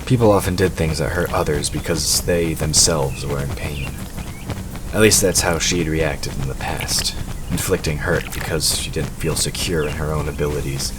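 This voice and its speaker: Male narrator, extremely deep register, scripted fantasy storytelling, noticeably noisy ambient bed. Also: fairly even intonation, normal speed. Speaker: narrator of an audio drama. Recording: clearly noisy background ambience.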